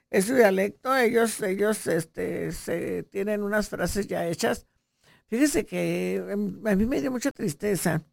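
A woman's voice: mid-range voice, vocal fry, darkish tone, no echo, studio quality. A woman hosting a radio show, sending chatty, playful greetings to listeners. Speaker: radio host.

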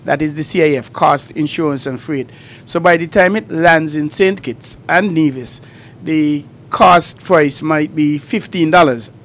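A man's voice: on the high side, measured pace, fairly bright tone. A man co-hosting a call-in radio show, explaining things step by step with examples. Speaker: radio talk show co-host.

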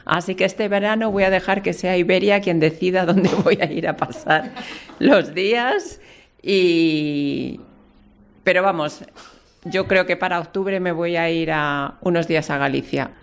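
A woman's voice: fairly low pitch, unhurried, a little hiss or hum. A woman in her fifties from Spain, an airline captain chatting as a radio guest about travel.

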